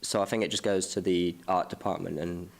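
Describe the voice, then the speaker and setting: A man's voice: low voice, fairly even intonation, a little fast, gravelly. A man hosting a podcast in a casual film discussion, rephrasing hesitantly as he talks. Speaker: podcast host.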